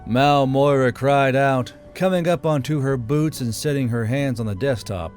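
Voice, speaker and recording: A man's voice: medium pitch, moderate pace, a little mellow. A man narrating and hosting a self-produced fiction audiobook channel. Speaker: narrator and channel host, amateur author. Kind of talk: audiobook narration and informal member shout-outs. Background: background music.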